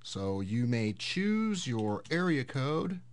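A man hosting a casual software tutorial, explaining things step by step in an unhurried way. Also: medium pitch, big pitch swings. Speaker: host of a tech tutorial series.

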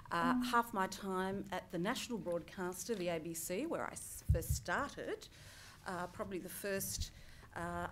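A woman's voice: lowish voice, noisy background. A woman in her fifties, a journalist from Greece, giving an earnest personal talk at a conference lectern with wry asides, through a headset mic in a large hall.

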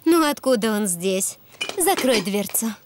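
A woman voicing a calm, patient mother donkey in a preschool cartoon, with a soothing, motherly manner. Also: medium pitch, measured pace, background noise. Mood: practical.

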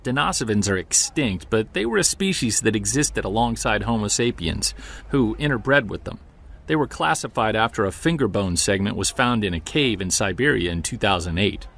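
A man's voice: on the low side, creaky, a bit bright, full character voice. A man playing an earnest, wry, self-deprecating amateur monster hunter in a naturalistic audio drama. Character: driven, self-deprecating male amateur Bigfoot investigator.

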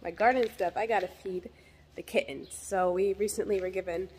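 A young woman with a head cold talks into a phone held at arm's length, rambling along with plenty of ums. Her pitch sits in a middling range, and faint farm noise hums behind her. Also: fairly even intonation, normal speed, darkish tone. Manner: chatty, rambling with many ums. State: getting over a head cold, deeper voice than usual. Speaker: homesteading vlogger. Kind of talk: casual chat to camera. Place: farm buildings, metal shed and barn.

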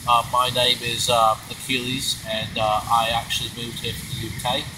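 A man putting on a British accent as a polite young man introducing himself, speaking slowly and hesitantly, recorded outdoors on a phone with traffic behind. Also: mid-range voice, little pitch movement, pitched below the performer's own voice, lightly acted. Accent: put-on British English. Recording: distant phone mic outdoors with traffic noise.

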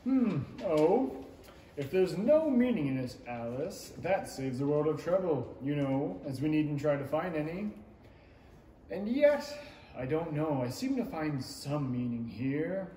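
A man's voice: a little high, big pitch swings, takes it slowly, mellow. A young man playing a muddled, self-satisfied king in a 1930s-style radio play, hemming and musing pompously. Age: young adult. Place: indoor stage in a small theatre. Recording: echoing stage pickup, faint noise.

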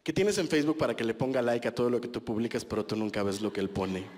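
A man's voice: medium pitch, fast talker, long reverb tail, background noise. Self-mocking Mexican man doing stand-up. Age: 30s. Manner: conversational, self-mocking, punchy with crude slang. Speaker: stand-up comedian. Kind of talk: stand-up comedy set. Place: small club stage with a live audience. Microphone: handheld mic.

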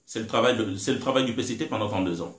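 A man's voice: fairly low pitch, very fast. A middle-aged man from Congo, talking indignantly and emphatically as an interview guest in a living room. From Republic of the Congo. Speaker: guest, political commentator. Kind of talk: impassioned interview talk. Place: living room, seated in armchair. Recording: roomy echo.

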